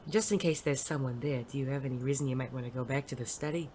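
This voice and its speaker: An actor playing a world-weary, wisecracking private detective in an audio drama, dry, sardonic and casually profane, with a soft spot underneath. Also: mid-range voice, quick pace, slight background noise, close to an everyday voice. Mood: sardonic, wary.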